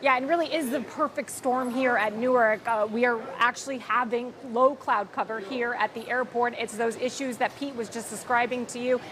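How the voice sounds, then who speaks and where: High-voiced woman reporting amid noise. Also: fairly even intonation, moderate pace, hardly any pauses. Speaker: field reporter. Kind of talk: live on-location news report. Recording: noisy airport location sound. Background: clear airport ambient noise.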